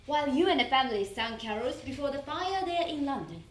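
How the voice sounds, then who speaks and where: A woman's voice: high-pitched, lively intonation, brisk. A woman giving a theatrical, emphatic dramatic reading of a wartime letter as part of a readers theater. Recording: roomy, distant mic, faint noise.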